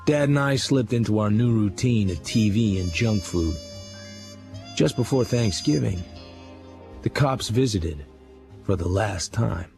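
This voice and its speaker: A brooding American crime writer narrates in clipped, hard-boiled style. The voice is low and gravelly, with music beneath it. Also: male voice, expressive, measured pace, long pauses, darkish tone. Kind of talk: first-person scripted drama narration.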